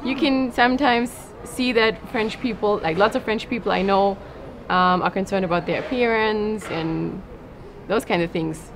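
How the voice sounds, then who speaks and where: A woman answers casually and hesitantly, full of "like" and "um". Her voice sits at a middle pitch, and a loud ambient hum stays under the recording. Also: normal speed. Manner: hesitant, many fillers.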